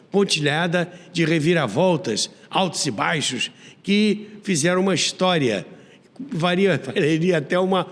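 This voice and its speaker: A Brazilian man with a fairly high voice narrates as a podcast host. His delivery is emphatic and lively, in old-school announcer style, with long reverb around the voice. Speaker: radio history podcast host. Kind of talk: storytelling narration.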